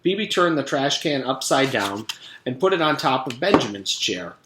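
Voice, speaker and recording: A man's voice: medium pitch, very animated, normal speed, reverberant, noisy background. A man reading a children's storybook aloud like a teacher, expressively and speaking directly to young listeners.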